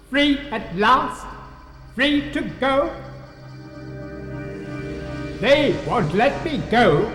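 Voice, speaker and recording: A man plays a defiant prisoner with a very high voice, speaking very slowly. His brooding, unhinged lines ring out in an echoey space with music behind. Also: a bit bright, heavily acted. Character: defiant male prisoner clinging to his village. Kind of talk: fan audio drama acting.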